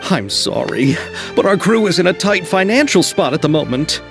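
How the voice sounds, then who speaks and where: A man playing an earnest, eager film producer in a video game, hesitant and flattering toward others. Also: high voice, normal speed, over music. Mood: apologetic.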